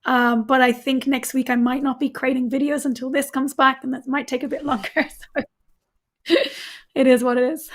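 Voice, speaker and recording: A woman with a high voice that's gone hoarse chats casually on a video call. She rambles with plenty of ums and frequent laughter. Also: level tone, normal speed. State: hoarse, recovering voice. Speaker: guest developer advocate. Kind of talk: casual live stream chat.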